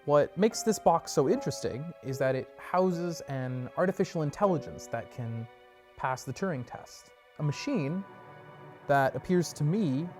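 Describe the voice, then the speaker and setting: A woman playing a pensive, absent-minded storyteller in an audio drama monologue, musing and halting. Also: rumbling low, dark tone. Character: distracted, pensive storyteller marvelling at strange science.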